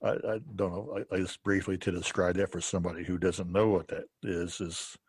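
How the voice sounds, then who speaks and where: Male host, casual interview show. Deep, husky voice, unpolished and halting delivery. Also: level tone, darkish tone, no echo, clean studio sound. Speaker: podcast host.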